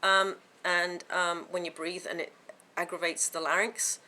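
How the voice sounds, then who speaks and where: A woman vlogging to camera from home, with a croaky voice from a sore throat, chatting in a rambling way with many fillers and restarts. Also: fairly low pitch, flat delivery, unhurried, bright, forceful tone. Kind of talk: casual chat to camera.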